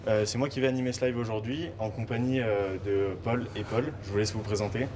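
Low-voiced young host, hesitant. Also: male voice, fairly even intonation, brisk, background noise. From Lyon, France. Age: young adult. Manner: hesitant, frequent euh fillers. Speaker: live show host. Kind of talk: hosting a live cooking show. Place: teaching kitchen, indoors. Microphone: handheld mic.

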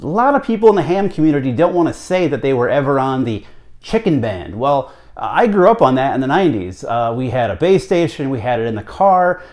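A man's voice: fairly high pitch, a little mellow. A man in his thirties with an American accent giving conversational, emphatic commentary to camera, often posing rhetorical questions. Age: 30s. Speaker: radio hobbyist vlog host. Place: small home office, indoors.

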